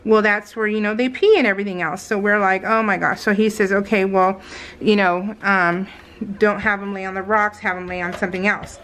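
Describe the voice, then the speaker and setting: American lady craft vlogger, medium pitch, chatty and rambly, acts out quotes as she tells stuff. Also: brisk, poor audio. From California, United States. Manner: rambling, animated retelling with acted-out quotes. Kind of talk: casual chat while crafting, voiced in real time.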